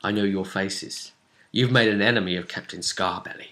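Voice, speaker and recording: A British man chatting casually as a game master, retelling a tabletop session in an animated way and acting out what characters said. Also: sits low, moderate pace. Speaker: tabletop role-play game master and co-host.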